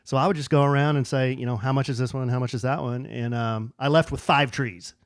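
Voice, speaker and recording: A man's voice: medium pitch, fairly quick, dry recording, studio quality. A middle-aged man co-hosting a podcast, telling a story in casual chat and acting out the quoted lines. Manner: animated storyteller, acts out quoted dialogue. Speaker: podcast co-host.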